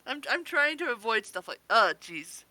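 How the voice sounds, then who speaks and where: A woman with a bright, fairly high voice reviews in a casual podcast chat. She is excitable and gushing, swears freely, and sounds close and dry. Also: moderate pace, no room sound.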